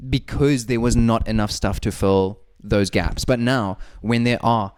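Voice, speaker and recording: A man's voice: fairly low pitch, darkish tone, clean tone. A young man co-hosting a podcast, rambling and teasing his friends in casual banter. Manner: rambling, teasing, quick asides. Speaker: podcast co-host.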